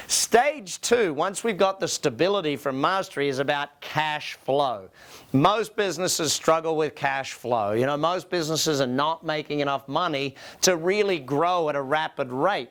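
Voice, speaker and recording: Male business coach, Australian, voice on the higher side, emphatic seminar presenter who works the audience with questions. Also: a bit bright. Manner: emphatic, rhetorical questions to listeners.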